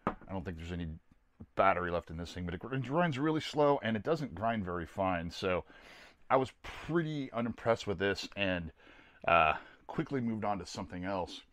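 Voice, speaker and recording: A man's voice: fairly low pitch, lively intonation, normal speed, fairly bright tone, no room sound. An older American man giving a casual, plainspoken product review to camera in a folksy, rambling way. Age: older, around 60s. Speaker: host of a camping gear video series. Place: small camper trailer interior.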